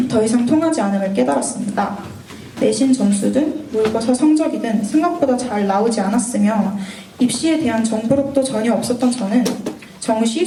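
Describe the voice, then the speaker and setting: Woman in her 20s, a bit hoarse from a cold, mellow voice, sounds far from the mic in a roomy space. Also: a little high, a little hiss or hum. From North Gyeongsang, Korea. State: sore throat from a cold. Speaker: university student mentor. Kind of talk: prepared mentoring talk.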